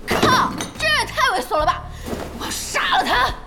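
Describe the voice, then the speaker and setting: Audio drama heroine: hot-headed young gamer, high voice, animated swings, outspoken. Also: female voice, vocal fry, loud music behind the voice, exaggerated voice. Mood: outraged. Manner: outspoken, quick to exclaim. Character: feisty, hot-tempered young female gamer and boss.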